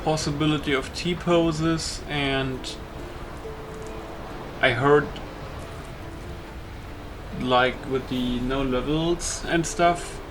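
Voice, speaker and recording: A man's voice: on the high side, fairly even intonation, very slow, long pauses, background noise. A man giving casual, informal and hesitant let's play commentary on his own gameplay. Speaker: gamer commentating on own playthrough. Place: voice-over on gameplay. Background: loud game music.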